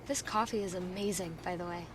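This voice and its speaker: A woman's voice: lowish voice, fairly quick, close to an everyday voice. A woman as friendly investigator, noisy backdrop. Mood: curious, friendly. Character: Cam, friendly, inquisitive female fellow investigator. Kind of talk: audio drama dialogue.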